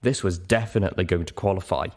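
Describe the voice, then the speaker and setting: A man's voice: sits low, fast talker, a little mellow, a little hiss or hum. A man narrating a story in the first person as a dramatic scripted reading. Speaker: story reader.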